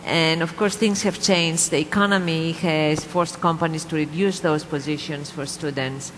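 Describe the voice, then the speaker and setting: A man on a panel answering a question about student internships in a measured way, often restarting phrases. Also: fairly high pitch, fairly even intonation, a bit bright. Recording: echoey hall with faint noise.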